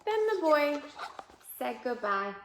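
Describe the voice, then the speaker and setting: A woman reading a picture book aloud to young children in an expressive way, pausing to point out the pictures. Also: high voice, slight background noise. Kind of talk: children's read-aloud storytelling. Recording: roomy, echoing indoor sound.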